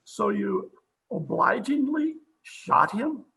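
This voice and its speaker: A man playing a skeptical, brusque police captain in an old-time radio mystery, with a rough, demanding delivery. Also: sits high, very animated, slow pace, sharp tone, full character voice, poor audio. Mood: skeptical, impatient. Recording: home video-call recording.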